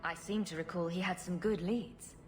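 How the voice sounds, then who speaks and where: A woman's voice: fairly low pitch, steady tone, moderate pace, over music, close to an everyday voice. A woman voicing a wisecracking female rogue in a video game, flippant and drily witty. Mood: wry, curious. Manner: flippant, dry wit. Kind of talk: video game dialogue acting.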